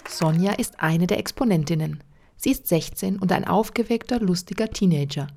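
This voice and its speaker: A woman narrating a documentary report in an even, measured read. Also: lowish voice, gravelly. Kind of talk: scripted narration. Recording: faint music underneath.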